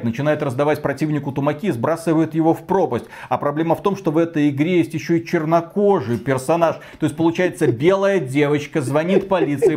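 A man's voice: fairly high pitch, a little mellow. A man co-hosting a gaming news show, giving conversational, opinionated commentary in colloquial language with mild crude slang.

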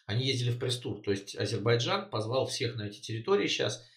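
A man in a relaxed political conversation, middle-pitched, filling his pauses with hesitation sounds and then leaning hard on his points. His voice carries a roomy echo. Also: gravelly. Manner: hesitant, many filler sounds, emphatic. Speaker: co-host. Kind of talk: casual political discussion.

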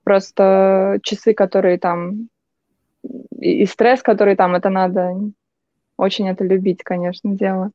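Mellow young woman guest, dull dry sound. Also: medium pitch, flat delivery, normal speed, dry recording. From Russia. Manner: chatty, anecdotal, frequent restarts. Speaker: guest, journalist. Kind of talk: casual interview chat.

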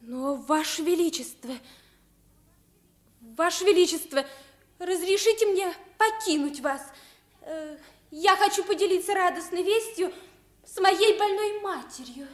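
Timid, very high-voiced servant boy. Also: measured pace, pauses often. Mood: humble. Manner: pleading, earnest. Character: timid, humble young servant boy, eager to please. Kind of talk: 1950s Russian radio play acting.

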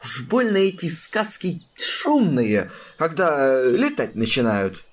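A woman's voice: sing-song, full character voice. Woman doing a drawling, lazy cat. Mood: teasing. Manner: slow, drawling, teasing, with sudden squeaky rises. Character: drowsy, sly male cat lounging under a tree. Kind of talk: children's audio drama.

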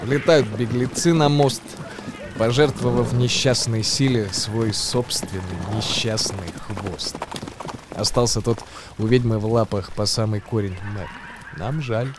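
An actor playing a wry, agitated storyteller in a radio play, reciting a ghoulish verse tale in an expressive, theatrical manner. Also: male voice, mid-range voice, measured pace, noisy background, lightly acted. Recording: music behind the voice. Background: music.

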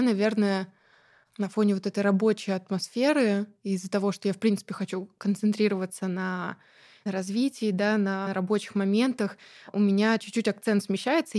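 Chatty woman guest, mid-pitched voice. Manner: chatty and animated, with many fillers and light laughs. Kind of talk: casual conversational interview.

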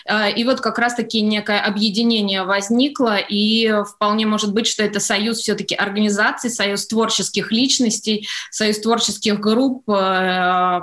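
A woman's voice: medium pitch, steady tone, normal speed, few pauses, a bit bright. A woman answering as a guest in a radio interview, explaining hesitantly and piecing her thoughts together as she goes. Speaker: interview guest, studio executive.

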